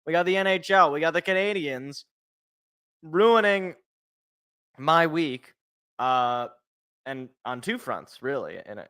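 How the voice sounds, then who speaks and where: American male sports host, bright but congested and a little raspy, rambling and jokey. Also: mid-range voice. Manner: rambling, jokey, repeats phrases for emphasis. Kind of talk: casual solo sports talk. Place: indoors at home.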